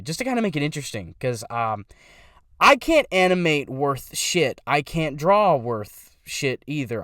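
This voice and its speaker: A man's voice: mid-range voice, a bit bright, dry recording, clean studio sound. A young man who does voice impressions, talking casually about his channel in a rambling way, stopping to correct himself.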